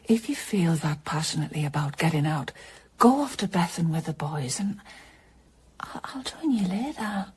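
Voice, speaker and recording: An actress playing a stubborn, driven journalist and wife in a naturalistic radio drama, with a husky voice. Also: female voice, fairly low pitch, expressive, moderate pace, a little hiss or hum, close to an everyday voice. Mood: strained, pleading.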